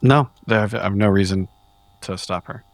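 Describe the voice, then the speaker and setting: A man improvising dialogue as a player in a tabletop roleplaying game, measured and somewhat formal. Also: fairly low pitch, no room sound.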